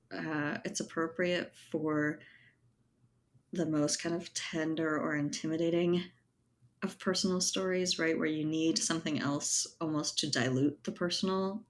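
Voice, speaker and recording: Low-voiced woman, thoughtful interview talk. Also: steady tone, measured pace, slight background noise. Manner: thoughtful, hedging, often ends phrases with right or you know. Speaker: podcast guest, writer and editor. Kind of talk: reflective conversational interview talk.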